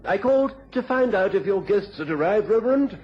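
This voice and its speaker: Courteous squire, muffled recording. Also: male voice, sits high, lively intonation, a little fast. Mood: affable, inviting. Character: courteous, affable English country squire. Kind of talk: 1950s-60s BBC radio drama acting. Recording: muffled old radio recording, faint noise.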